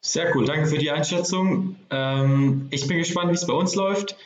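A man's voice: fairly high pitch, moderate pace. A man hosting a podcast interview, talking loosely with many fillers as he sums things up. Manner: summarizing, loose and chatty with fillers. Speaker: podcast host. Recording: dull, roomy sound with faint noise.

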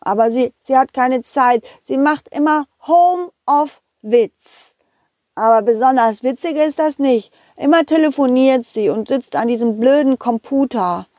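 A woman's voice: high-pitched, measured pace, a little mellow, dry recording, clean studio sound. Woman doing a small shy young boy. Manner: childlike, hesitant with small stammers.

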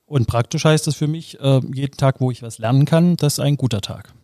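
A man's voice: mid-range voice, fairly quick, warm tone, dry recording, studio quality. A man answering panel questions as a guest, thoughtful and reflective.

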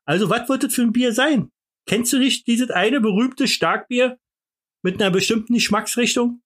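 A man's voice: very high voice, normal speed, fairly bright tone, husky. A man with a Berlin accent hosting a podcast, joking around in boastful, mock-pompous banter full of fillers and restarts. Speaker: podcast host.

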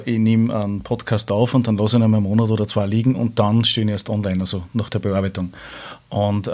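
A man hosting a podcast, asking questions in a relaxed, conversational way. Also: fairly low pitch. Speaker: podcast host. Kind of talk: casual podcast interview.

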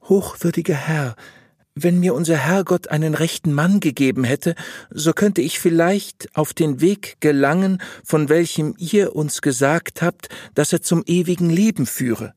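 A man's voice: a little high, measured pace, darkish tone, no room sound, clean studio sound, voice pushed up. A man voicing a pious, naive young wife in a storybook, speaking in earnest, pleading, formal phrases. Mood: plaintive, pleading. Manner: earnest, pleading, old-fashioned formal speech. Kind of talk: audiobook storytelling.

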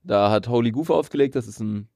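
A man's voice: medium pitch, a little fast, a little mellow, dry recording, clean studio sound. A young man co-hosting a podcast in casual, slangy, teasing chat, tired and slightly nasal from a cold. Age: young adult. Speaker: podcast co-host.

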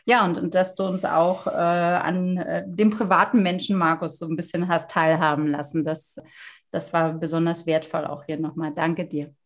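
A woman's voice: fairly low pitch, fairly even intonation, normal speed. A middle-aged woman hosting a podcast interview, affirming her guest and chatting about her own life. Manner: affirming, frequent murmured agreement. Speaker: podcast host. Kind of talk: warm conversational interview.

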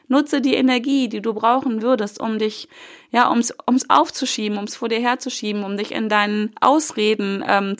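A young woman with a cold, hosting a solo motivational podcast in a casual coaching tone, with loose fillers and the odd swear word. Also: on the high side. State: has a cold. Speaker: podcast host.

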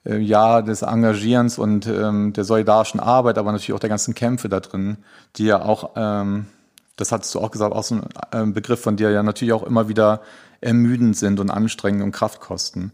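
Brief: male podcast host, lowish voice, hesitant, lots of "äh" between phrases. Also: flat delivery, a little fast, darkish tone. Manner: hesitant, many fillers while introducing guests. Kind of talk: podcast interview.

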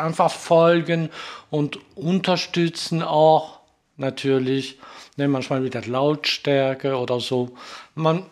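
A middle-aged man from southern France with a French accent, chatting casually as an interview guest, searching for words and adding questioning tags. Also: medium pitch. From Marseille, southern France. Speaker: orchestral horn player, podcast guest.

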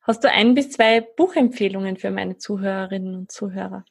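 A woman's voice: medium pitch, brisk, creaky, husky. A woman hosting a podcast, asking a guest questions in a friendly, brief way. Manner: friendly, short affirmations. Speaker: podcast host. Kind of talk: interviewing a guest.

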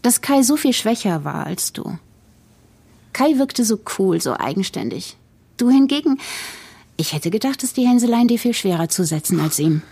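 A woman's voice: medium pitch, big pitch swings, moderate pace, creaky, mellow, husky, full character voice. A middle-aged actress playing a cold, snobbish, scheming female politician in an audio drama, with a condescending, matter-of-fact delivery. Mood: contemptuous.

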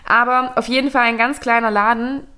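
A woman's voice: fairly high pitch, fairly even intonation, fairly quick, bright, forceful tone. A woman co-hosting a fashion podcast, talking casually in a hesitant, rambling way with many fillers. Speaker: podcast co-host. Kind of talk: casual chat.

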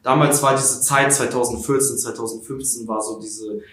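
A man's voice: medium pitch, fairly even intonation, moderate pace, a bit bright, echoey room. An eighteen-year-old man from Ukraine, a social media creator, answering interview questions casually with lots of fillers and restarts. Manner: hesitant, many fillers and restarts.

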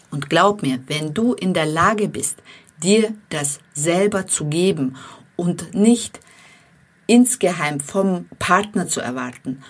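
A woman with a slight foreign accent giving a calm, earnest self-help talk, speaking directly to her listeners. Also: fairly low pitch. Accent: non-native accent. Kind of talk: motivational monologue to viewers.

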